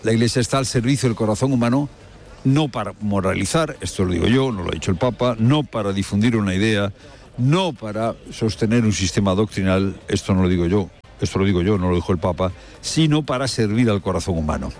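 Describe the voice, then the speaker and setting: A man's voice: lowish voice, lively intonation, brisk, darkish tone, soft background music. A man presenting radio news with live, emphatic commentary, asking rhetorical questions and repeating key phrases for effect. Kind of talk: live spoken commentary with field reporting.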